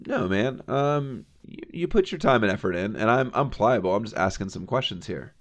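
A man's voice: lowish voice, big pitch swings, normal speed. A man co-hosting a casual podcast chat, trading wry, teasing banter. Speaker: podcast co-host.

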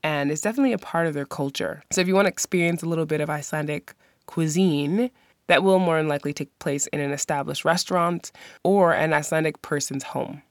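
A woman's voice: low voice, fast, no echo, clean studio sound. A woman hosting a podcast, giving friendly, practical travel advice. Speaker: podcast host. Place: lives in the country she describes.

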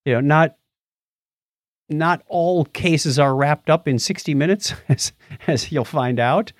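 A man's voice: on the high side, slow pace, dry recording, clean studio sound. A man hosting a podcast in casual chat, with jokey, informal asides. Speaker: podcast host.

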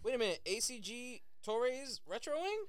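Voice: mid-range voice, big pitch swings, moderate pace, dry recording, studio quality. A podcast speaker giving short, slangy, playful interjections in casual banter.

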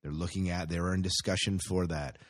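A man's voice: low-pitched, fairly even intonation, fairly quick. An American man hosting a podcast in a casual, informal way, often hesitating and correcting himself. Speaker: podcast host. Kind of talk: casual sci-fi news and movie segment talk.

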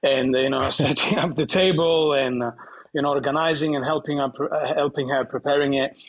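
A man in his thirties from southern Italy speaks English with an Italian accent, his voice husky and slightly breathy. He talks casually in an interview, stopping and restarting often and laughing lightly. His pitch sits in an ordinary middle range. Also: fairly even intonation, low-quality recording. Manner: halting, many restarts and fillers, laughs often. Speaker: guest bartender.

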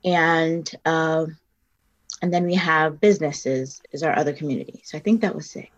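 A middle-aged woman with a lowish voice answers interview questions as a community organizer. She is earnest and a little halting, often pausing with "um" and correcting herself as she goes. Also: fairly even intonation, lo-fi sound. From South Bronx, New York. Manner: earnest, halting, with frequent ums and self-corrections.

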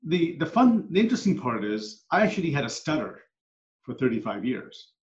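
A man's voice: mid-range voice, sing-song, a little fast, darkish tone. Middle-aged man, echoey and earnest. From Canada, moved there at ten. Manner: earnest, reflective storyteller. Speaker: guest, coach and speaker. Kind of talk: storytelling in a podcast interview. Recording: echoey room, dull lo-fi sound.

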